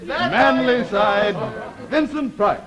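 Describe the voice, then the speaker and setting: A man's voice: high-pitched, animated, slow pace. An American man in his thirties hosting a live 1950s television game show with ad-libbed introductions. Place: TV studio living-room set. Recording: 1950s TV broadcast, studio crowd noise.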